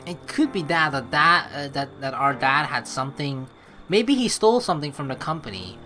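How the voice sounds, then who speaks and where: A woman's voice: low-pitched, lively intonation, normal speed, bright, forceful tone, faint music behind. A woman commentating over a game as a let's play host, rambling and swearing casually. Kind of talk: game commentary mixed with reading dialogue aloud.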